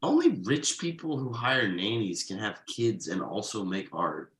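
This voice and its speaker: A man playing an exasperated, uptight workaholic father with halting, sardonic delivery in a comedy table read. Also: lowish voice, big pitch swings, a bit bright. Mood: aggrieved.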